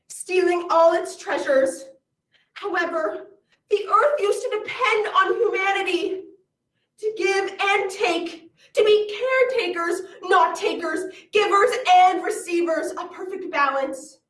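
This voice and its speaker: A woman playing a self-important female cartoon voice actress in a comic stage monologue, with theatrical swings in pitch and loudness. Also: slow, exaggerated voice. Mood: dramatic narration. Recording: roomy, from a distant mic.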